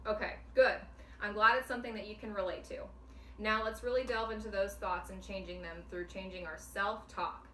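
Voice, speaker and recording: A woman speaking as a clinician in a demonstration counseling session, calm and patient, explaining step by step. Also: medium pitch, normal speed. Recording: echoey room, mic at a distance, faint noise.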